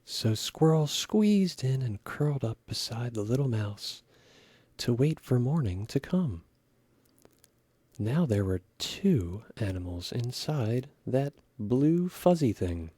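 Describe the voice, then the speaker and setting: Guy reading a kids story, slow and lively, soft mellow breathy voice. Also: medium pitch, dry recording. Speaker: children's story reader. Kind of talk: expressive storybook narration.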